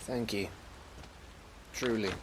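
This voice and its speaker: A man's voice: on the low side, steady tone, slow pace, background noise. An actor playing a cheeky, loyal male scout in a fantasy game, with a British accent and a colloquial, self-deprecating manner. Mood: wry, grateful. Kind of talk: cinematic fantasy game acting.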